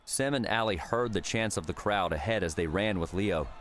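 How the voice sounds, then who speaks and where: A man narrates a story in a low-pitched voice. It is a scripted, dramatic audiobook read with faint noise behind it. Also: normal speed, a bit bright.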